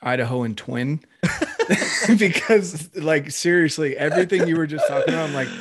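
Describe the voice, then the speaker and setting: A man's voice: fairly high pitch, moderate pace, slightly rough, dry recording. A man from North Carolina in casual podcast chat, talking at length with frequent laughs and fillers. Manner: chatty, laughs often, lots of fillers.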